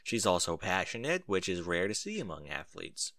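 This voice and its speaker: A man's voice: low voice, huge pitch swings, moderate pace, a bit bright, no echo, clean studio sound, pitched below the performer's own voice, exaggerated voice. A man voicing an earnest, know-it-all pony in a story, explaining things emphatically. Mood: earnest, advising.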